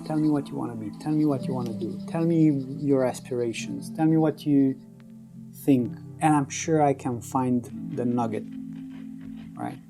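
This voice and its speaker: A man from Romania talks to his vlog viewers in a relaxed, dark-toned voice with a lot of vocal fry. His delivery is a casual, rambling monologue, emphatic and repetitive, with faint music behind him. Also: mid-range voice. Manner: emphatic, repeats phrases and tags with "right?". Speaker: vlog host.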